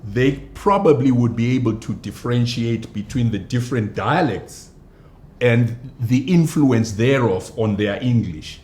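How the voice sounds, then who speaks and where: A man, a pastor and actor, answering interview questions in a measured, hesitant way with many fillers. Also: mid-range voice, darkish tone. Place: studio. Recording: echoey studio with faint noise.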